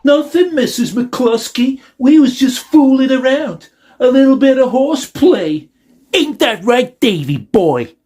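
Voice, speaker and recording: Mocking schoolyard bully voiced by a woman: very high, drawn-out taunts. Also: animated, warm tone. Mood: innocent act. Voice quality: mocking, sing-song taunts.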